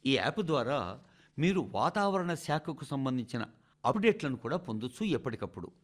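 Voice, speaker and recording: A man reading a scripted radio address in a formal, measured oratorical manner, speaking directly to the listeners. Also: mid-range voice, sing-song. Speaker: radio reader of a translated national address.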